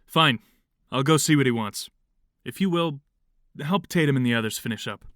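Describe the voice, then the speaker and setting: An actor in an audio drama playing a stern, impatient commune leader with a curt, no-nonsense manner. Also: male voice, medium pitch, normal speed, a bit bright, dry recording, studio quality, natural voice. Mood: weary impatience.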